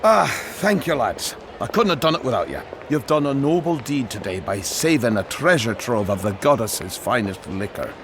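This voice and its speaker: A man's voice: mid-range voice, lively intonation, normal speed, few pauses, noisy background, natural voice. Man playing a hearty barkeep, swooping delivery. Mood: grateful, teasing. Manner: hearty, colourful, exclamatory, old-fashioned turns of phrase. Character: burly, jovial, gruff male bar owner, hearty Scot. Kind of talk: full-cast audio drama acting.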